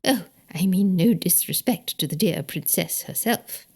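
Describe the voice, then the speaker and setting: A woman's voice: fairly low pitch, unhurried, slightly rough. Woman voicing a prim, haughty older British lady. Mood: prim, indignant. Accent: British English. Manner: emphatic, precise, haughty. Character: prim, indignant, forthright older married lady. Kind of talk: audiobook character dialogue.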